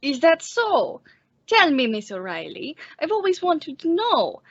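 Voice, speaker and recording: A woman playing a teasing old male musician and professor in a radio comedy, mock-courtly in his flattery. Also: sits high, measured pace, no room sound, heavily acted. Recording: dull lo-fi recording.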